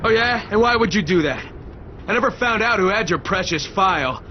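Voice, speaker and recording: A man playing a gruff, sardonic undercover operative in a zombie outbreak video game. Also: sits high, expressive, brisk, highs cut off, a little hiss or hum. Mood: angry, sardonic. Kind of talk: video game cutscene acting.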